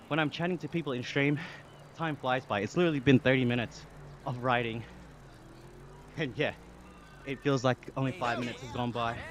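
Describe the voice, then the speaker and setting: An upbeat young Australian man talks to his stream viewers while pedalling a workout bike, laughing easily and thanking people by name. His voice sits at an ordinary pitch. Car and street sounds from a game run clearly behind him. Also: slight background noise. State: exercising on an indoor bike. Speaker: live streamer. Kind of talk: casual chat reading viewer comments.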